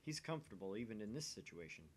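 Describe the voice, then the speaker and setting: Low-voiced man reading, noisy rumbly recording. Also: sing-song, fast. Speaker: let's player reading a visual novel aloud. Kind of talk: voice-acted read-through with casual asides.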